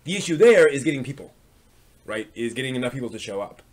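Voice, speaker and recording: A man hosts a casual live stream in a mid-pitched voice. He talks off the cuff, rambling and hesitating as he thinks aloud. The delivery is conversational and unscripted. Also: very animated, quick pace, darkish tone. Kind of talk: casual unscripted talk to viewers.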